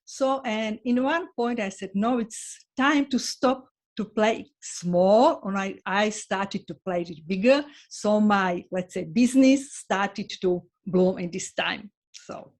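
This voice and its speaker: A woman from Slovakia with a Slovak accent, a non-native speaker, talking earnestly and haltingly as an interview guest. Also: medium pitch, darkish tone. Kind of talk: online interview.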